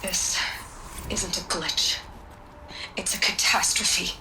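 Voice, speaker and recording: An actress playing a blunt, no-nonsense scientist in a game cutscene, talking in a cutting, direct way. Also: female voice, on the low side, fairly even intonation, takes it slowly, background noise.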